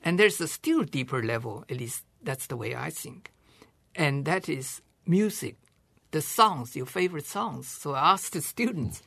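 Older male guest from China, seventies, thoughtful and unhurried, gravelly husky tone. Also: a little high, lively intonation, fairly bright tone, no room sound. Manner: measured and thoughtful, pausing to rephrase. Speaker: guest scholar. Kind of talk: reflective interview answers.

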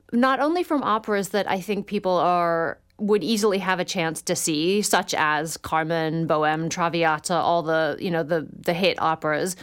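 A woman's voice: mid-range voice, clean tone. A woman speaking as a guest author on a radio call-in show, talking in an articulate, enthusiastic and thoughtful way.

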